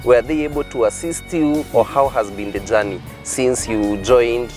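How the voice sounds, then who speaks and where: A man's voice: mid-range voice, normal speed, a little mellow, soft background music. A man with a Kenyan accent interviewing a guest, asking long, encouraging questions.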